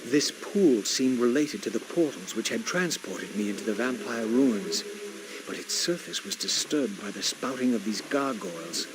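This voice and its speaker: An actor playing a brooding, aristocratic vampire who narrates his thoughts in a formal, measured, portentous way for a video game. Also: mid-range voice, lively intonation, background noise, natural voice. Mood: solemn, brooding. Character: brooding, solemn male vampire, weary and aristocratic. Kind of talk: scripted video game narration, introspective monologue.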